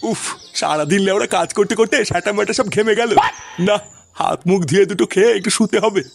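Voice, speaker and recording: A man's voice: high voice, fast talker, creaky, faint music behind. A man voicing a grumpy, foul-mouthed old father and grandfather in a comedy cartoon, scolding and complaining in an earthy way. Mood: weary complaining.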